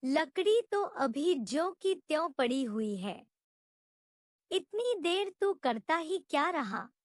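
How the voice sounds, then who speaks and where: Woman acting a haughty, scolding village priest, high-pitched. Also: sing-song, slow pace, dry recording, clean studio sound, heavily acted. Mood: scolding, impatient. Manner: commanding, goading.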